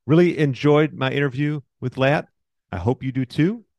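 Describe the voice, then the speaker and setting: A man's voice: medium pitch, moderate pace, creaky, darkish tone, dry recording. A man hosting a podcast, reading an upbeat scripted intro and then asking interview questions. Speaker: podcast host.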